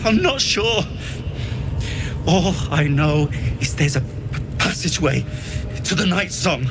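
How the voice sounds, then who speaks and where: Halting, frightened captive adventurer. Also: male voice, a little high, lively intonation. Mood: shaken, halting. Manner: stammering, halting, pleading. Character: frightened captive male adventurer, pleading and meek. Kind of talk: video game character acting. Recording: music behind the voice.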